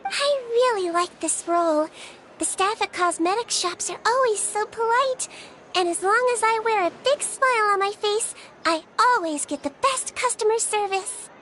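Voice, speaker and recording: A woman's voice: extremely high pitch, expressive, moderate pace, background noise. A woman voicing a sweet, girlish nurse creature in human form in a video game, polite, earnest and matter-of-fact. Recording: music behind the voice.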